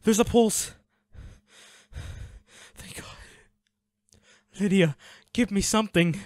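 A man playing a devoted, anguished boyfriend in an intimate audio roleplay, pleading and halting with emotion. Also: high voice, no echo, clean studio sound. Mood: panicked, pleading. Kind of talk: intimate dramatic audio roleplay, one-sided dialogue.

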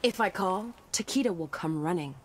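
A woman's voice: fairly low pitch, animated, moderate pace, a little hiss or hum, lightly acted. An actress playing a confident young female soldier in a video game, casual, blunt and sassy. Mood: defiant. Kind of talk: video game character dialogue.

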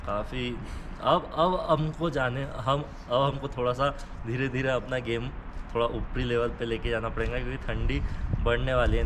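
A man hosting a casual travel vlog outdoors in a cold mountain valley. He talks in a chatty, joking way and slips English phrases into his speech. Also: medium pitch. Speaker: travel vlogger host. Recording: outdoor noise with passing vehicles.

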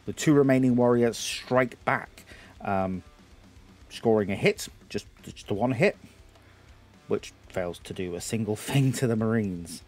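A man in his thirties with a Geordie accent, narrating a tabletop battle report at home, slightly hoarse, rambling with lots of fillers. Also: fairly low pitch, a little slow, darkish tone, faint music behind. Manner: rambling, self-deprecating, lots of filler words. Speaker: YouTube host. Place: home games room.

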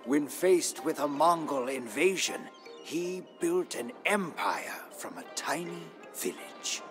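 An actor playing a proud, ambitious, ageing Majapahit minister in a game, narrating his story in a solemn, theatrical, deliberate manner. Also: on the high side, lively intonation. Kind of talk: dramatic scripted game narration in first person. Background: background music.

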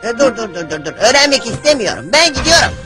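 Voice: mid-range voice, sing-song, quick pace, music in the background, lightly acted. An actor playing a cocky, carefree young male hedgehog hero in a cartoon dub, brash and flippant. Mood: excited, flustered. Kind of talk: animated cartoon dub acting.

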